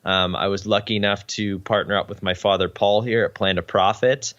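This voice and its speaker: A man's voice: fairly low pitch, steady tone, fairly quick, a bit bright, highs cut off. A young Canadian man, a podcast guest, talking earnestly in a casual interview with frequent ums. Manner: earnest, uses many ums.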